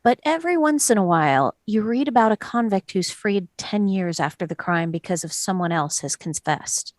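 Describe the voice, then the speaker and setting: A woman playing a calm, doubtful male juror with a measured, reasoning manner at a dramatic table read. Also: mid-range voice, no room sound, clean studio sound, close to an everyday voice. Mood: reflective.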